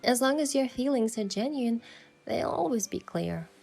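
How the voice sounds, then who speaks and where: A woman playing a sincere, easily flustered young heroine, narrating and speaking in a romance story with soft expression. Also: a little high, animated, moderate pace, gravelly. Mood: earnest. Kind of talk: first-person narration and dialogue in a romance story. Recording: music behind the voice.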